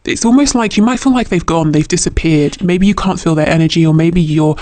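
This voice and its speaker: A man with a fairly high voice reads tarot for the listener. He speaks off the cuff, reflecting aloud as he interprets. Phrases often get repeated or reworked along the way. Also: brisk, darkish tone, no room sound. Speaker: tarot reader. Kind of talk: intuitive tarot reading, unscripted, addressed to the listener.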